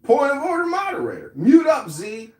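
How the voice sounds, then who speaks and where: A man hosting a rambling group-chat podcast, repeating himself in a drawn-out way. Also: very high voice, huge pitch swings. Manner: drawn-out, repeats "hello" and "point of order" over and over. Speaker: podcast host. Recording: echoey room audio, from far off.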